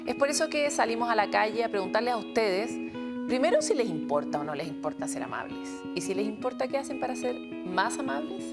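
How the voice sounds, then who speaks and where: A woman's voice: fairly high pitch, animated. Woman hosting a talk show, music behind. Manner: measured, thoughtful, with pauses and fillers. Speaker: host.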